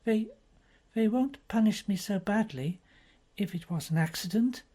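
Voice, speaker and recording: A woman's voice: medium pitch, lively intonation, unhurried, warm tone, pitched above the performer's own voice. A woman voicing a distressed young aristocratic woman who speaks in a faltering, pleading way.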